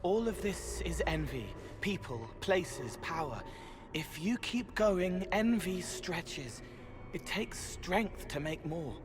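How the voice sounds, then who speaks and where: A man voicing a gentle, eerie young spirit in a video game, speaking in halting, poetic fragments. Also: sits high, lively intonation, background noise. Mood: earnest, cryptic. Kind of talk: dramatic video game acting. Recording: music behind the voice.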